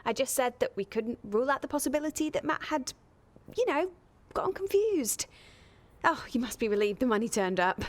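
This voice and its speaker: Woman confidante, reassuring, tactful, slightly high-pitched, radio soap. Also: lively intonation, fairly quick.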